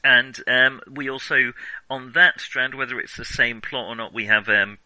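A man's voice: mid-range voice, steady tone, moderate pace, pushed and bright, no room sound, poor audio. A man hosting a casual film discussion, talking in a hesitant, rambling way and often interrupting himself. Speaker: film podcast host.

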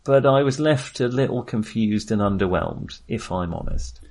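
Guy chatting about films on a podcast, really animated pitch, kinda hesitant and apologetic. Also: normal speed, a little hiss or hum. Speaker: film podcast co-host. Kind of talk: casual film discussion.

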